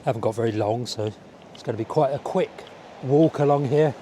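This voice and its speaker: A man with a slightly croaky voice from a cold, chatting casually and musing aloud as he walks along outdoors. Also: mid-range voice, brisk, warm tone, slight background noise. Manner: rambling, musing aloud to the viewer. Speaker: vlogger. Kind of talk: casual outdoor walking vlog chat. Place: outdoors, walking by a village road and seafront. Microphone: small wireless clip-on mic.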